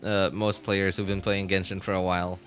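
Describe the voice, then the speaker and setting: A man with a fairly low but bright, forward voice chats casually on a gaming stream. He thinks aloud in a rambling, halting way, with music playing behind him. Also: level tone. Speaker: live streamer.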